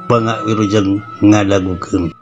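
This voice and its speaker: A male storyteller with a Sundanese accent narrates horror at a slow, deliberate pace. His delivery is dramatic, and music plays clearly underneath. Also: fairly low pitch, little pitch movement, muffled recording. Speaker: storyteller, channel host. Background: background music.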